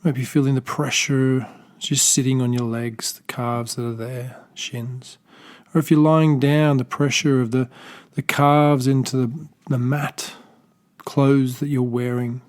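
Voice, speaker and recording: A man with an Australian accent gently guiding a body scan meditation, speaking softly and slowly with pauses and small restarts. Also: medium pitch, flat delivery. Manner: slow, soft-spoken, gentle guidance with pauses. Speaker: meditation teacher.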